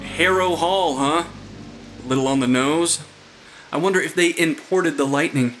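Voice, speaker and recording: A man's voice: on the high side, measured pace, a bit bright, soft background music, poor audio. A young man playing a wry, nerdy, jittery investigator in a supernatural comedy audio drama, with dry, quippy banter. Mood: dry, joking. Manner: dry, quippy, slightly pompous.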